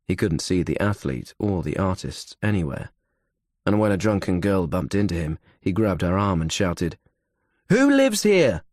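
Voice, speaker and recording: Male audiobook narrator for suspense fiction, very low register, close dry sound. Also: normal speed, darkish tone, no echo. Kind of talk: scripted thriller narration.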